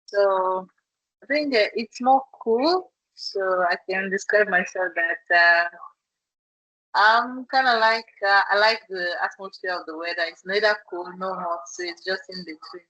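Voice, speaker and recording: A woman's voice: mid-range voice, unhurried, sharp tone, highs cut off. A woman from Leone, a workshop participant talking hesitantly over a video call. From Sierra Leone. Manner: hesitant, with uh fillers.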